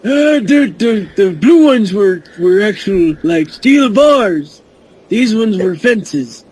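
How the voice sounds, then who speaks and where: A man's voice: high-pitched, expressive, takes it slowly, darkish tone, a little hiss or hum, poor audio. A man chatting casually as a panelist on a podcast. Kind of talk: casual chat with interjections.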